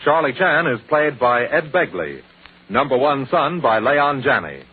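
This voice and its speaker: An American man working as a radio announcer, reading scripted copy in 1940s broadcast style with a husky voice. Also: medium pitch, sing-song, a little slow, narrow, tinny audio.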